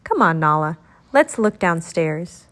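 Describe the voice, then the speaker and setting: A woman voicing an excited, eager young girl genie in training from a children's storybook. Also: lowish voice, measured pace, pitched below the performer's own voice. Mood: curious. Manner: exclaiming, eager.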